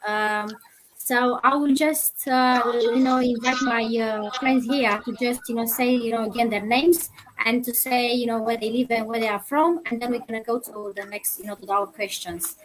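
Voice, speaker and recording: A woman hosting a live online panel chat, talking haltingly with many "you know" fillers. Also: fairly high pitch, fairly even intonation, poor audio. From Romania. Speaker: livestream host.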